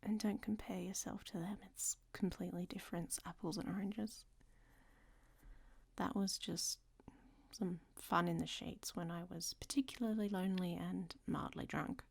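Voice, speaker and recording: A woman's voice: lowish voice, steady tone, moderate pace, gravelly, husky. A woman playing a worldly, flirtatious traveler in an audio roleplay, speaking to the listener in a teasing, often half-whispered way. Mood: teasing tender.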